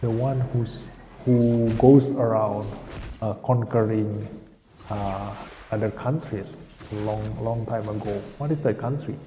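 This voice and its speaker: Dark-voiced man rambling on stream. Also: lowish voice, steady tone, slow. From Indonesia. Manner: rambling, often loses the thread. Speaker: game streamer. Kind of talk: casual live-stream game commentary. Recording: roomy echo with long reverb, faint music.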